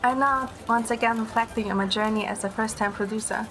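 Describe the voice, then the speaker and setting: A woman playing a gentle young heroine, narrating her romance in the first person as scripted audio drama. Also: fairly high pitch, steady tone, fairly quick, close to an everyday voice.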